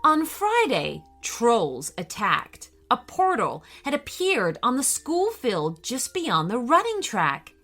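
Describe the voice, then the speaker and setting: A woman tells a children's story in a highly animated, bright voice. She reads deliberately and expressively, taking her time with each phrase. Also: faint music behind. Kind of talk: expressive scripted bedtime story narration.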